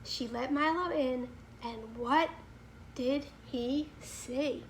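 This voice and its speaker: A woman reading a children's picture book aloud in an animated, emphatic storytelling manner with deliberate phrasing, like a teacher. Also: sits high, a little hiss or hum.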